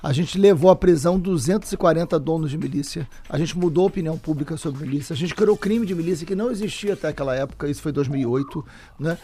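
A man's voice: fairly high pitch, quick pace, warm tone, slightly rough. A middle-aged man with a Rio de Janeiro accent, talking as an author and politician guest in an earnest, insistent way that repeats key phrases. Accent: Rio de Janeiro Portuguese. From Brazil, Rio de Janeiro state. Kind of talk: interview talk.

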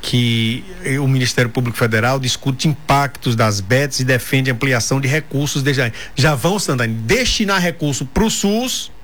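A man hosts a casual radio talk show at an ordinary middle pitch. He banters and jokes with his co-host, then shifts into reading news items aloud. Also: normal speed, few pauses, fairly bright tone. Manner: chatty, joking, reads news aloud.

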